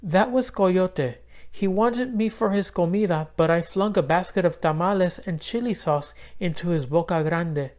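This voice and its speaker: A man's voice: high voice, moderate pace, few pauses, a little mellow, voice pushed up, lightly acted. A man voicing a polite, brave and clever young girl in a children's storybook read-aloud. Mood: earnest.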